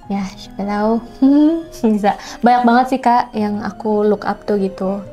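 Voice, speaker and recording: A woman chatting casually as an interviewed singer-songwriter, expressive and colloquial with many interjections. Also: medium pitch, measured pace, faint music behind. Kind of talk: casual chat.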